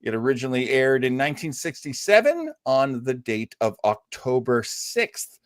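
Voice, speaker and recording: A man hosting a casual podcast review discussion, talking in a thoughtful, halting way. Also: medium pitch, very animated, no room sound, clean studio sound. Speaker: podcast host.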